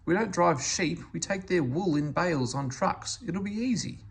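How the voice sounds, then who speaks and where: A man's voice: a little high, expressive, moderate pace, vocal fry, breathy edge, voice pushed up, exaggerated voice. A man voicing a confident, cheeky steam engine in a storybook, with a breezy, self-assured manner. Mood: breezy, knowing.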